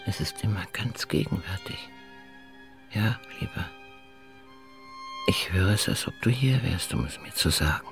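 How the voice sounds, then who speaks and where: A woman playing a composed, wistful lady of the house in a German radio drama, speaking slowly and deliberately. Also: a bass voice, animated, faint music behind, exaggerated voice. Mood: wistful, intimate.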